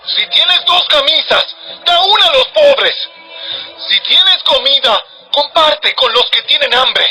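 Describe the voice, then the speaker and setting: A fierce, stern male prophet declaims his warnings in an audio Bible drama. His voice rises very high and is piercingly bright and forceful. Music plays underneath as he admonishes urgently. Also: very animated, slow pace, full character voice, poor audio.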